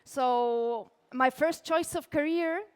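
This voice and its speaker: Young austrian woman chatting on a conference stage, kinda high voice, lots of "like". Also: takes it slowly, long reverb tail. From Austria (Tyrol). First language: German. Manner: casual, chatty, many "like" fillers, laughs lightly. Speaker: conference speaker, illustrator. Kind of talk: conference talk.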